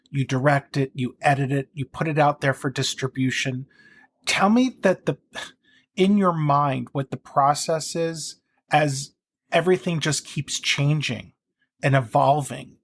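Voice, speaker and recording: A man interviewing a guest conversationally, in a measured, deliberate way. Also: medium pitch. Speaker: interviewer, playwright.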